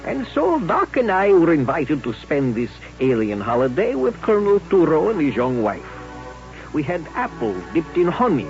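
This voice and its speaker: A folksy frontier storyteller narrating a radio Western. His voice is fairly high and swings very widely in pitch. Faint music sits behind the muffled old recording. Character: folksy Old West storyteller, doctor's companion.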